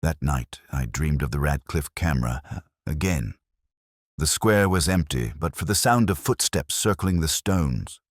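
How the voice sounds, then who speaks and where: Casting: male actor as erudite, brooding inspector narrating a mystery drama; very low voice, expressive melody, wry and measured. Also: no room sound, clean studio sound, heavily acted. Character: brooding, erudite male detective inspector telling his case. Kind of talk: scripted first-person mystery narration.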